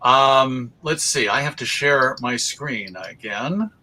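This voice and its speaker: Bright-voiced man presenting on a video call. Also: medium pitch, takes it slowly, slight background noise. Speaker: guest presenter, radio show host. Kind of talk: casual live virtual presentation. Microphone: video call microphone.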